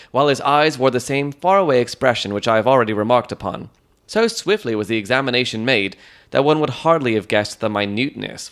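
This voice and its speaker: A man giving scripted, dramatic audiobook narration of a Victorian mystery in a measured, descriptive storytelling manner. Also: medium pitch, fairly bright tone.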